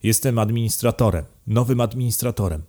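A man's voice: mid-range voice, brisk, warm tone, lightly acted. A man voicing a bewildered, cautious new estate administrator in an audiobook, speaking hesitantly and deferentially. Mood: uneasy. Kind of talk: dramatized audiobook reading.

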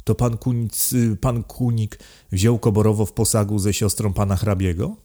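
A man's voice: fairly low pitch, flat delivery, moderate pace, warm tone, close to an everyday voice. A man voicing a bewildered, cautious new estate administrator in an audiobook, speaking hesitantly and deferentially. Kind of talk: dramatized audiobook reading.

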